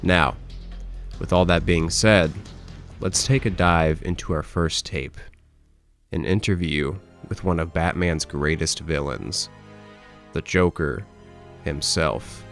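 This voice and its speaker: Guy narrating a horror video essay, real low voice, scripted, bit of music behind. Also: takes it slowly, long pauses, fairly bright tone. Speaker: host, horror series reviewer.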